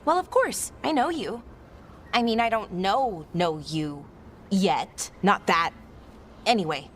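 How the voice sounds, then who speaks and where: A woman's voice: medium pitch, expressive, slow pace, a little hiss or hum. An actress playing a friendly, eager and slightly awkward female mage scholar in a video game, chatty in manner. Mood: flustered. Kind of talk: video game cutscene acting.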